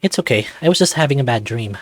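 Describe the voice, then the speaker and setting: A gentle young student as a man reads him from a visual novel. He is earnest and mild, with a dry, close sound. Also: mid-range voice, lively intonation, fast, no room sound.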